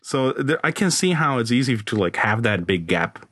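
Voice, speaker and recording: A man's voice: medium pitch, sing-song, normal speed, a bit bright, husky, dry recording. A man in his forties who grew up in Honduras, a podcast guest chatting in a thoughtful way. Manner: thoughtful, many fillers and restarts. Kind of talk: casual chat.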